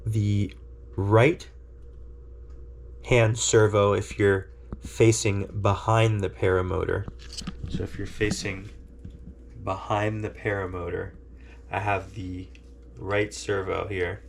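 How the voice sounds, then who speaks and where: Man with a head cold, nasal, low and gravelly; patient step-by-step tutorial delivery, checks in with "okay?". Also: flat delivery, takes it slowly, pauses often, a little hiss or hum. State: congested with a cold. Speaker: hobbyist demonstrating a radio setup. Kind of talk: casual how-to tutorial. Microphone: camera on a handheld tripod.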